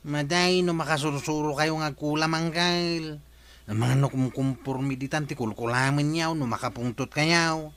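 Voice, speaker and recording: A man's voice: a little high, normal speed, a bit bright, clear, resonant tone, lightly acted. A man playing a sensible younger nephew who reasons earnestly with his uncle in a radio comedy. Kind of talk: radio comedy drama acting.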